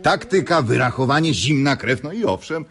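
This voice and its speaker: An actor playing a genial, earnest tournament announcer in a radio drama, giving a ceremonial speech with slight hesitations. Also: male voice, medium pitch, animated, normal speed, fairly bright tone. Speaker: master of ceremonies. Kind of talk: Polish radio drama acting.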